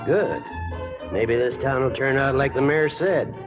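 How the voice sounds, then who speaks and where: An actor playing a sly, needling American rancher in a 1940s radio western, drawling with false politeness. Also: male voice, medium pitch, a little slow, lo-fi sound, music in the background, close to an everyday voice.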